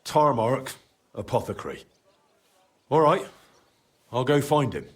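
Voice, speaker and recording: A man's voice: medium pitch, big pitch swings, a little slow, husky. An actor playing a dutiful, dry young medieval man-at-arms in a video game, direct and courteous. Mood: businesslike. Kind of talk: video game cutscene acting.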